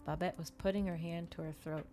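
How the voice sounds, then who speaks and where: A woman reading a novel aloud in a dramatic ASMR storytelling style, with measured, expressive delivery. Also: on the low side, faint music behind.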